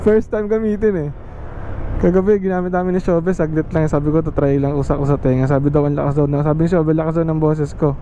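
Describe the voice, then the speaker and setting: A man's voice: fairly high pitch, little pitch movement, fast talker, dark tone, muffled recording. A Filipino man chatting and commenting casually as he tests gear, on a helmet intercom microphone. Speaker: vlogger testing gear. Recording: noisy vehicle and road background.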